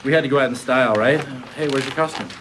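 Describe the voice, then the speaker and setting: An actor playing a goofy, warmhearted family man in his thirties, reading with an earnest, joking delivery at a table read. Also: medium pitch, fast talker, natural voice. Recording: noisy room ambience.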